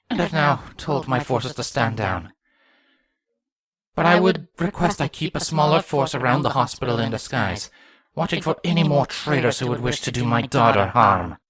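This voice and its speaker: An actor voicing a regal, vengeful changeling queen, a grieving mother, speaking gravely and deliberately with a husky voice in a dramatic fan fiction reading. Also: medium pitch, expressive, a bit bright, no room sound. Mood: subdued, formal.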